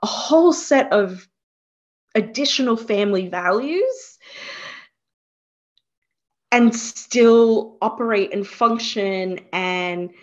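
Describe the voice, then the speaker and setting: Unhurried Australian woman guest who thinks aloud; slightly dull lo-fi recording. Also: mid-range voice, lively intonation. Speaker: guest, career coach. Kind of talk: conversational interview.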